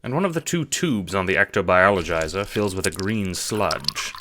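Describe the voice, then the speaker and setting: A male narrator with a low voice and lively, swooping intonation. He tells a story in the second person, expressively, with faint noise behind him. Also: normal speed.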